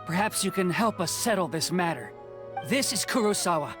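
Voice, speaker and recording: A woman's voice: lowish voice, animated, normal speed, natural voice. A woman playing a stern, accusing villager in a video game. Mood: suspicious, firm. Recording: faint music under the voice.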